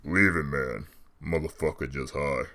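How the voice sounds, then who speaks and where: A man voicing a gruff, gravelly, foul-mouthed street thug in a comic dub. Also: rumbling low, moderate pace. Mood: dismissive.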